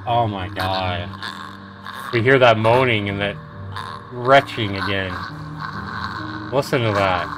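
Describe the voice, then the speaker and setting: Slow-paced man reading a game dramatically. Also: lowish voice, noisy background. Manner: dramatic reading, colloquial asides. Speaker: livestreamer. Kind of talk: game narration read aloud with casual commentary. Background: game music.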